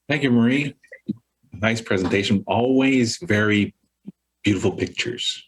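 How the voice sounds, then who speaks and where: A man's voice: on the low side, unhurried, slightly rough, poor audio. A man moderating a virtual panel, thanking speakers and introducing the next one in brief, polite remarks. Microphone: video call.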